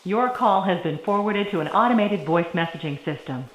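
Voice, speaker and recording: A woman's automated voicemail greeting, read in a flat, prompt-like manner. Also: lowish voice, moderate pace. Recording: echoey, noisy playback.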